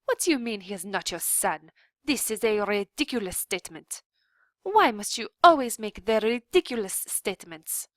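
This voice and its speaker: A vain mother mouse, sighing and dismissive, as a woman reader puts her on. She speaks slowly, in a very dry, close sound. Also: medium pitch, animated, fairly bright tone, no echo.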